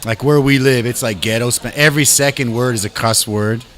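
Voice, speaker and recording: A man who grew up in Canada, hosting a relaxed live chat show from a bar, talking animatedly, swearing casually and agreeing quickly. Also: medium pitch. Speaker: host.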